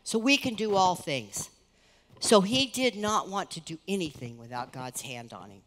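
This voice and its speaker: A middle-aged woman pastor preaching on a church stage, earnest and conversational, her voice rising and softening as she speaks. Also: on the low side, moderate pace. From United States. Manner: earnest, conversational preaching with lively rises and soft drops. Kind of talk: sermon. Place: large church stage, indoors. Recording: reverberant church hall.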